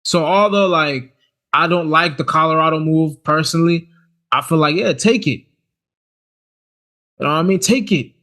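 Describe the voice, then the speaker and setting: A man's voice: fairly high pitch, normal speed, pauses often. A man co-hosting a podcast, chatting casually and animatedly, often restarting his sentences. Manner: animated, frequent restarts and stammers. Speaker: podcast co-host. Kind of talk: casual chat.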